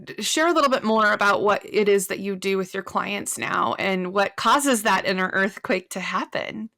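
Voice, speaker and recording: A woman hosting a marketing podcast, explaining ideas in a lively way that often checks in with "right?", then interviewing her guest. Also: medium pitch, moderate pace, creaky, no echo, studio quality. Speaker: podcast host.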